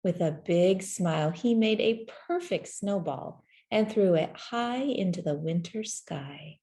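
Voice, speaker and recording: A woman reading a children's story aloud in a gentle, measured way, with deliberate pauses between phrases. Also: on the low side, expressive. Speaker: storybook reader. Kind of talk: scripted children's story narration, read aloud.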